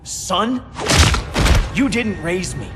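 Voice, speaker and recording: A young man is a hot-headed vigilante in a video game drama. His high voice swings widely, biting and sneering, over loud score. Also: very animated, drawn-out words, in a large hall, exaggerated voice. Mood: defiant. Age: young adult. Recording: loud cinematic music underneath.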